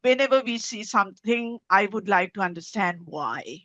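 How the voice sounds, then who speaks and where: A woman with a Sri Lankan accent answering as an interview guest, halting and repeating words as she goes. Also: medium pitch, animated, a bit bright, no room sound. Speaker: guest, soil science researcher. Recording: dull, lo-fi sound.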